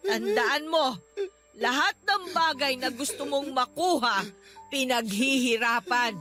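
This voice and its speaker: A woman's voice: high voice, pushed and bright, heavily acted. A woman playing a stern, hurt older nanny in a Filipino radio drama, emotional and reproachful as she preaches to her grown ward. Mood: stern, lecturing.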